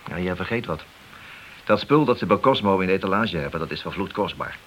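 A man's voice: low-pitched, lively intonation, a little fast, fairly bright tone. An actor playing an ambitious, glory-seeking policeman in a radio play, insistent and persuasive. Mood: coaxing, boastful. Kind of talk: radio play dialogue acting. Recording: old radio recording with hiss.

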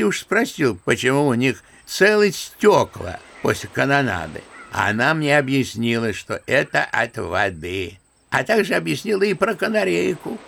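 An actor playing an old general and grandfather, telling his memories in an unhurried, bookish, old-fashioned way in a radio drama. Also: medium pitch, gravelly, fairly bright tone, heavily acted. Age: older. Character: old general and grandfather, unhurried naive storyteller, good-natured. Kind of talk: Russian radio drama acting.

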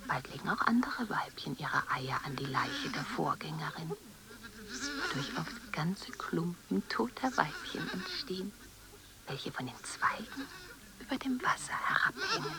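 A woman narrating a scripted literary text in a deliberate, dramatic, often breathy delivery. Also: medium pitch, poor audio.

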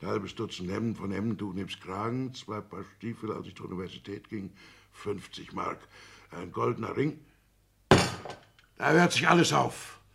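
An actor playing an aggrieved, blustering older petty-bourgeois father with a gravelly, husky voice in a 1960s German radio play. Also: lowish voice, normal speed, background noise. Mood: reckoning, indignant.

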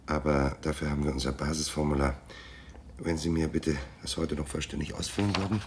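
A male private detective in a naturalistic radio play. His voice sits very low, and he is dry, businesslike and probing. A faint noise runs behind him. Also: level tone, fairly quick. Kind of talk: naturalistic German radio drama.

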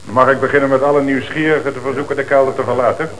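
An actor playing a calm, businesslike police inspector in a radio play, with a Netherlands Dutch accent. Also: male voice, medium pitch, rapid-fire, lightly acted, rough recording. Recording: hissy old radio drama recording.

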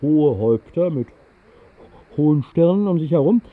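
A man's voice: on the high side, animated, moderate pace, narrow, tinny audio, natural voice. An actor playing a whimsical man who tells a fantastical tale in a 1960s literary radio play, in a wry, conversational way with musing asides. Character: whimsical man recounting a fantastical night flight over the city. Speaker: first-person narrator of a radio play.

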